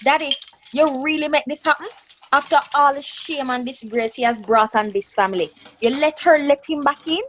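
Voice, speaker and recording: A woman's voice: high-pitched, normal speed, a bit bright, slight background noise. A woman playing a bitter, angry grown daughter in a radio drama, mocking and confrontational. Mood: furious.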